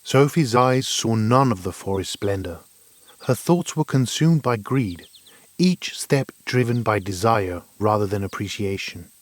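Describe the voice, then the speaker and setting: Expressive male fairy tale narrator. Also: lowish voice, unhurried.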